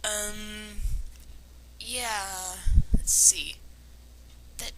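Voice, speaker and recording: A woman's voice: mid-range voice, fairly even intonation, extremely slow. A woman reading a story aloud and breaking in with casual, sarcastic, nitpicking commentary, on an amateur recording. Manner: sarcastic, nitpicking, hesitant in asides. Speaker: amateur reader and commentator of fan fiction. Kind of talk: dramatic reading of a story with casual mocking asides. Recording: clearly noisy amateur home recording.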